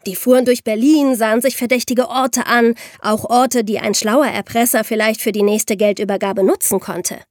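Actress as higher-voiced young woman explaining crime cases. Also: fairly even intonation, moderate pace, few pauses, a little mellow, no room sound, studio quality, close to an everyday voice. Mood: explanatory, engaged. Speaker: podcast host explaining true crime cases. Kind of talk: conversational explanatory storytelling.

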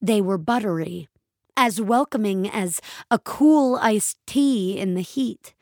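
A woman narrating a scripted, dramatic fiction story in a measured, expressive storytelling manner. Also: mid-range voice, no room sound, clean studio sound.

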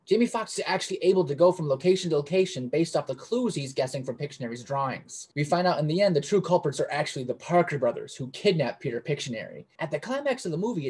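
A man reading out a prepared movie pitch in a steady way. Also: breathy edge. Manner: steady, reads from a script. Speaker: contest winner. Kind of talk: read-out pitch.

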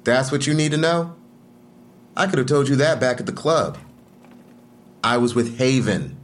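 A man's voice: mid-range voice, moderate pace, close to an everyday voice. An actor playing a guarded, irritable man under police questioning in an audio drama, curt, defensive and evasive. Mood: irritated.

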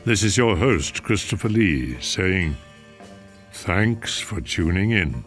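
An older male host announcing upcoming episodes in a slow, deliberate voice. He speaks low and measured, with soft music beneath. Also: big pitch swings. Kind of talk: scripted announcing.

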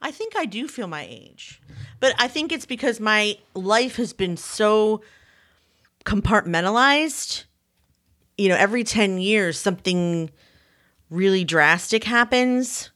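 A woman in her forties with a mid-range voice co-hosts a casual conversation. She speaks in an animated, chatty way and pokes fun at herself. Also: medium pitch, a little slow. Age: 40s. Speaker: podcast co-host. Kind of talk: casual chat.

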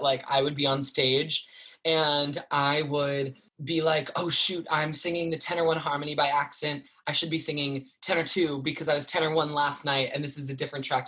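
A man's voice: a little high, sharp tone. Chatty twenties man, theatre performer, casual interview guest, filler-heavy. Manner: chatty, lots of likes and fillers.

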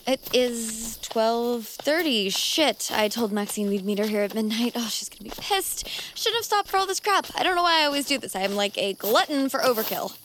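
A woman's voice: fairly high pitch, lively intonation, normal speed, few pauses, a bit bright, a little hiss or hum. A woman playing a young occultist in an audio drama, nervous, rambling and emotional.

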